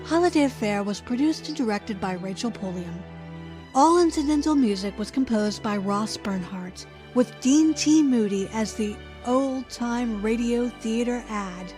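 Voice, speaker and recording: A woman announcer with a fairly high voice reads scripted radio credits. Music plays clearly behind her. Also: lively intonation, unhurried.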